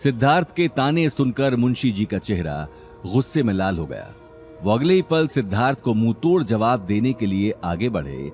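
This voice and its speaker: A man's voice: lowish voice, a little mellow. A man narrating a scripted audio drama story in a dramatic style.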